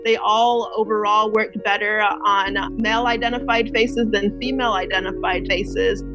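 A woman researcher giving a clear-cut explanatory answer in an interview. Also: medium pitch, steady tone, moderate pace, highs cut off. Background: loud music.

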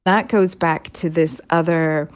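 A woman's voice: deep voice, fairly even intonation, a little slow, clean tone. A woman speaking as an interviewed expert, stressing her points with repetition. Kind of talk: interview commentary.

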